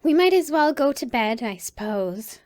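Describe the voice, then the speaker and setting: A woman's voice: sits high, lively intonation, normal speed, no echo, clean studio sound, pitched above the performer's own voice. A woman voicing a curious, restless little girl, in a storybook reading. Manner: bright, eager, restless.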